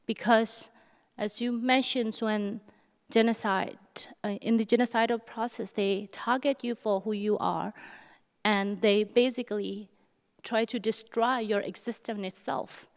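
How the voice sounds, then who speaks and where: A woman from Burma speaks formally as a panelist, with measured, careful phrasing and pauses. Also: fairly high pitch, fairly even intonation. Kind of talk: formal thanks and testimony at an event. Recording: echoey hall sound.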